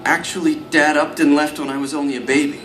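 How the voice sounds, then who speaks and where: A man's voice: on the high side, flat delivery, fast talker, a bit bright, slight background noise, lightly acted. An actor playing an anxious, self-pitying young man in a motion comic, with a whiny, nervous way of talking. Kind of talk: motion comic voice acting with narration. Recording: roomy sound with music underneath.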